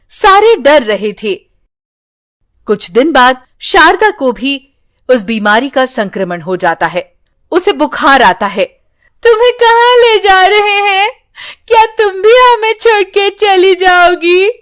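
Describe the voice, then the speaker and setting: A woman's voice: a little high, a bit bright. A woman storyteller reading a scripted children's tale in a sorrowful, dramatic way.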